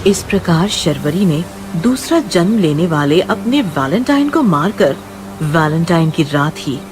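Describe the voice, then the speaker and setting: A woman's voice: on the low side, expressive, a little slow, over music. A woman reading scripted horror story narration in a dramatic, suspenseful manner.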